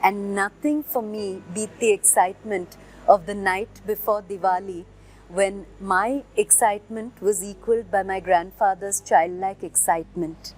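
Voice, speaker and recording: A woman's voice: mid-range voice, fairly even intonation, measured pace, darkish tone, slight background noise, low-quality recording. A woman with an Indian accent telling a personal memory in a composed, storytelling way.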